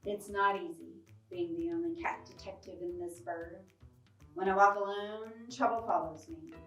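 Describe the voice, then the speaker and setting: A woman's voice: mid-range voice, fairly even intonation, normal speed, a bit bright, far from the mic, natural voice. A woman voicing a hard-boiled cat detective who narrates a storybook case in a dramatic, clipped noir manner. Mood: wry, resolved. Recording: roomy, off-mic, music behind.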